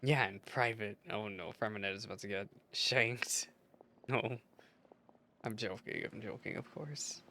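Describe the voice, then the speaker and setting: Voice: mid-range voice, unhurried, pushed and bright, slight background noise. A gamer giving casual, playful commentary while reacting to a story quest, in chatty asides. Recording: game music behind the voice.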